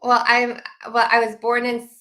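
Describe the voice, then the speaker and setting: A woman's voice: on the high side, monotone, moderate pace, sharp tone. A woman in her thirties from Washington, a guest chatting casually from home, laughing easily and restarting sentences. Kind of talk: casual interview talk.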